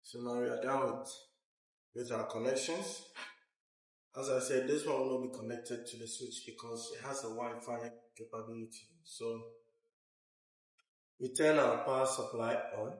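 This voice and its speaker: A man presenting a hands-on technical tutorial, explaining step by step in a halting way. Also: medium pitch, steady tone. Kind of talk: hands-on instructional walkthrough. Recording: roomy echo, uneven distance from mic.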